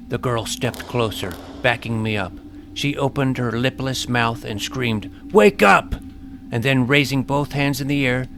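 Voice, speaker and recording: A man giving scripted first-person storytelling narration as the narrator of a tale. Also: medium pitch. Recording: clear background noise, sound effects.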